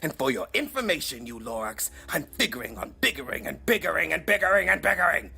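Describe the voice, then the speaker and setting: A woman's voice: low-pitched, big pitch swings, fast, vocal fry, sharp tone, husky, pitched above the performer's own voice, heavily acted. A woman reading a storybook aloud, voicing a greedy male factory owner who talks back in a defiant, blustering way.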